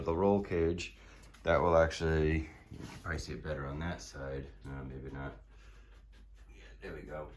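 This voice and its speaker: A hoarse man casually walking through his build in a garage workshop, explaining with many fillers and restarts. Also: sits low, darkish tone, slight background noise. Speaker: car builder showing his project.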